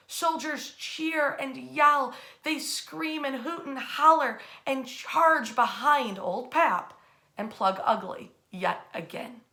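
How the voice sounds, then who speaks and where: A woman with an American accent tells history like a storyteller. Her voice sits a little high and sounds bright and forceful. She phrases theatrically, leaning on key words and pausing for effect. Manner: emphatic, theatrical phrasing with deliberate pauses. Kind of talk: dramatic bedtime-style history storytelling.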